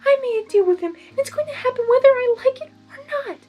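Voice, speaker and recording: A woman voicing a timid young female pony who is heartbroken and defiant, in a high, thin, tearful voice with emphatic, pleading delivery. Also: animated, fast, darkish tone. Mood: angry, upset.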